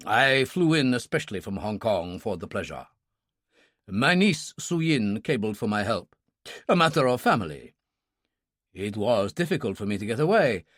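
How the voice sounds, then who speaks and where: A man voicing a calm older male Chinese Shaolin monk and kung fu master who speaks in a slow, measured, formal way. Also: fairly low pitch, no room sound, studio quality, voice pushed down.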